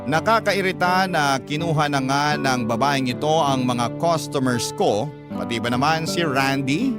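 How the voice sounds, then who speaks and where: A man hosting a radio drama, reading a listener's letter aloud in an expressive storytelling voice. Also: on the high side, moderate pace. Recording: loud guitar music behind.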